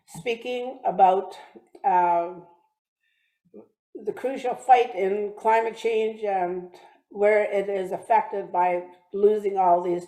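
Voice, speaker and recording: A woman from British Columbia giving a talk with slides, speaking haltingly with many restarts and fillers. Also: mid-range voice, dark tone, reverberant, poor audio. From British Columbia, Canada. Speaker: guest speaker. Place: church hall or online meeting.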